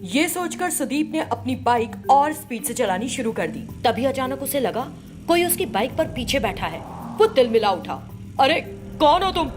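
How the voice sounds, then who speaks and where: A woman's voice: high voice, big pitch swings, moderate pace. A woman storyteller reading scripted story narration. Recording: loud music behind voice.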